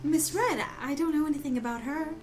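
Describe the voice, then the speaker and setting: Woman playing a very high-voiced, defiant young heiress. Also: fairly quick, a little mellow, faint music behind, lightly acted. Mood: guarded, weary. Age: young adult. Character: defiant, anguished young society daughter protective of brother. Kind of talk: noir radio drama acting.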